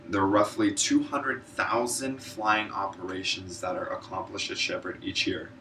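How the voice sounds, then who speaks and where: A man's voice: sits low, little pitch movement, normal speed, pushed and bright. A man delivering a prepared informative speech in an earnest presenter's manner, stumbling now and then over his words. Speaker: student public speaker, military air traffic controller. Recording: distant mic, noisy room ambience.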